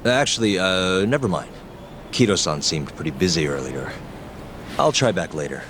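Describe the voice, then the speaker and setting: A man's voice: deep voice, animated, moderate pace, noisy background. A man acting in an English game dub as a laid-back, wry, confident detective who talks casually and unhurriedly. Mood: casual, polite.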